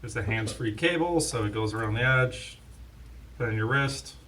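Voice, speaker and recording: A man's voice: mid-range voice, moderate pace, a bit bright. A man hosting a casual, unscripted product demonstration, talking like an in-house expert. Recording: distant mic, faint background noise.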